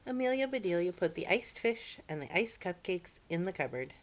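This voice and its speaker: Low-pitched woman reading children's stories. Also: big pitch swings, normal speed, slight background noise. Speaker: children's story-time reader. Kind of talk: expressive picture-book reading with asides to children.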